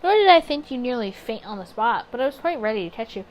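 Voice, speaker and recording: A woman's voice: fairly high pitch, very animated, fast, poor audio. A woman voicing a flirtatious, teasing man from a 1920s bar in a visual novel, with a playful, conspiratorial manner. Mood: playful, smooth.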